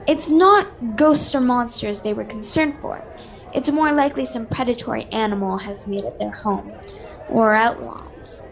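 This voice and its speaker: A young performer as high-voiced commanding noblewoman. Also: normal speed, vocal fry, slight background noise, poor audio. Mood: measured. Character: female noble patron, secretive, commanding and brisk. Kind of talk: radio-serial style acting. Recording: video call with background music.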